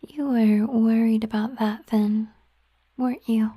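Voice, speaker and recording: A woman voicing a gentle, affectionate siren in a whispered ASMR roleplay, speaking softly and intimately in an unhurried, musing way, close to the microphone. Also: fairly high pitch, fairly even intonation, no echo. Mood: gentle, explaining. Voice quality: soft, breathy whisper. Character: gentle, affectionate female siren, a mermaid girlfriend. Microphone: close microphone, dry sound.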